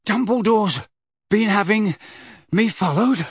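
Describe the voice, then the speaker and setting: A breathless, exasperated teenage boy, as a narrator voices him in an audiobook. He pants between phrases, slightly higher and husky. Dry, close recording. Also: animated, slow pace, no echo, heavily acted. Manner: panting, exasperated. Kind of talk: audiobook character voice.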